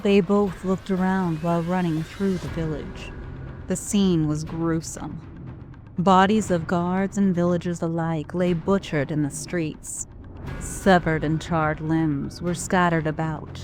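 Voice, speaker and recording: A woman narrating an audio drama in a slow, deliberate, grave way. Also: fairly low pitch, steady tone, a little mellow, soft background music. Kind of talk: scripted narration.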